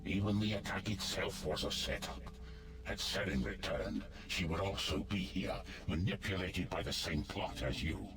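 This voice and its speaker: An actor playing a solemn, resolute god of the underworld with a grave, formal manner in a fantasy game. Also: male voice, low voice, normal speed, loud music behind the voice. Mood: grave warning. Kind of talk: scripted fantasy game dialogue.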